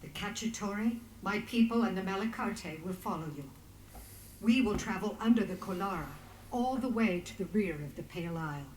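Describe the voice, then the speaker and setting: An actress playing a composed rebel leader in a fantasy game. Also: female voice, mid-range voice, moderate pace, a little mellow, roomy sound, a little hiss or hum, close to an everyday voice, rough recording. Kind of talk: dramatic fantasy game voice acting.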